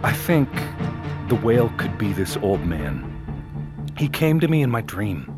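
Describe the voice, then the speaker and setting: A man's voice: on the low side, big pitch swings, measured pace. An actor playing a sleepless, desperate man who insistently and earnestly pleads his case in an audio drama. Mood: urgent. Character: desperate, sleepless man urgently convinced by prophetic dreams. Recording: loud music under the voice.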